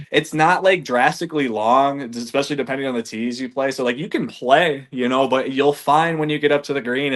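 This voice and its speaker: Energetic thirties male guest from Michigan, casual and profane, filler-heavy storytelling, medium pitch. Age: 30s. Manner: energetic, loose, swears casually, says "man" and "like" a lot. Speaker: podcast guest, golf reviewer. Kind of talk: casual chat.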